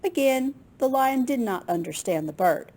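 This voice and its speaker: A woman reading a picture book aloud to children in an expressive, unhurried way, with small asides to her listeners. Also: high-pitched. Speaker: children's storytime reader at a library.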